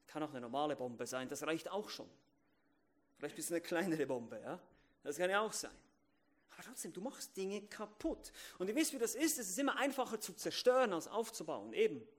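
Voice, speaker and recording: A man delivering an emphatic sermon in a fairly high voice. He repeats key phrases and asks his listeners questions. He swings between loud, stressed lines and quiet asides. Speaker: preacher.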